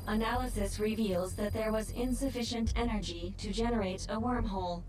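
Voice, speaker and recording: An actress playing a calm, analytical artificial intelligence in a video game, speaking with precise, formal, clinical wording. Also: female voice, mid-range voice, brisk, natural voice. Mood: clinical, explanatory. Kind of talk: video game cutscene acting. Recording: loud music, slightly distant voice.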